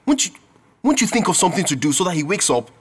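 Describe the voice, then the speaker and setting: A worried young Nigerian student in a radio drama. His slightly raspy voice swings up and down as he reproaches and frets like a loyal friend. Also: sits high, very animated, fast, exaggerated voice. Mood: alarmed, reproachful.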